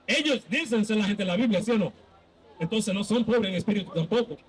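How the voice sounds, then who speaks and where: A man's voice: high voice, lively intonation, brisk, breathy edge. A man leading a street Bible debate as a preacher, insistently quizzing and cutting in with questions.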